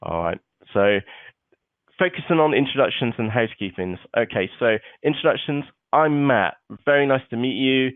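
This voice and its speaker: Low-voiced man on tinny line. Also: no room sound. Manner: chatty, peppers talk with "okay" and "uh". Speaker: webinar host. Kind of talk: live online seminar walkthrough. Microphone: web conference microphone. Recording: tinny phone-like web call audio.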